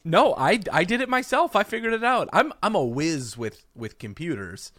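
A man's voice: sits high, very animated, normal speed, fairly bright tone, dry recording. Joking man co-hosting a podcast, dry sound. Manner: joking, teasing. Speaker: podcast co-host. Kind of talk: casual chat.

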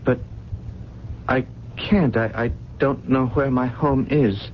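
An actor playing a lost, bewildered gentleman in a radio drama, speaking in a halting, wistful way. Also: mid-range voice, steady tone, lightly acted. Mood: dazed, forlorn. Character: lost, bewildered, well-dressed gentleman without memory. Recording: muffled lo-fi radio recording, faint noise.

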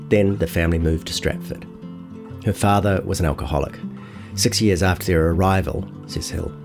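Man, low voice, scripted journalistic narration, voices quotes, faint guitar music behind. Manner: acts out quotes within narration. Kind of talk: scripted audio long-read narration.

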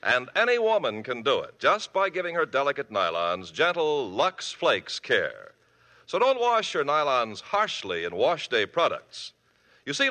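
A man announcing the cast credits and commercials on a 1950s radio show, with a smooth, persuasive delivery. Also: medium pitch, sharp tone.

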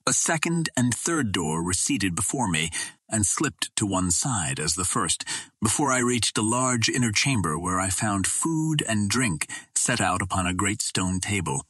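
Low, husky male narrator. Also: sing-song, unhurried, fairly bright tone, no echo. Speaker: audiobook reader. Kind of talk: scripted narration of a classic adventure novel.

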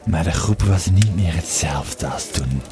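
A man playing an old Roman legionary veteran in an audio play, telling a grim memory in a halting, dramatic way. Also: sits low, expressive, music in the background. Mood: grave, reminiscing. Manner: slow, halting, dramatic storyteller. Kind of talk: in-character storytelling in an audio play.

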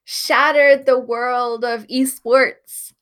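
A woman's voice: a little high, steady tone, drawn-out words, a bit bright. A young woman with a nasal, congested voice from being sick, chatting casually and wryly as a podcast co-host.

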